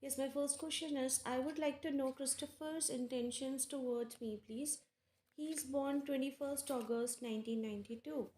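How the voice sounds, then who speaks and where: A woman with an Indian English accent, hosting a live tarot stream and chatting casually with viewers by name, unhurried and full of fillers. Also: high-pitched, steady tone, mellow, slight background noise. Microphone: earphones with mic. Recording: echoey room.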